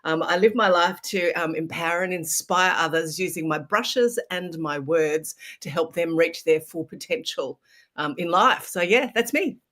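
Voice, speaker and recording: A woman in her sixties from Australia, warm-toned and upbeat. She presents herself as a motivational speaker on a video call. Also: lowish voice, moderate pace.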